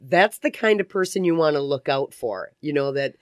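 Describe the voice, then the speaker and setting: A woman's voice: low-pitched, lively intonation, no room sound, studio quality. A woman hosting a radio interview in a casual, chatty and effusive way. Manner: effusive, quick to laugh. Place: community radio studio.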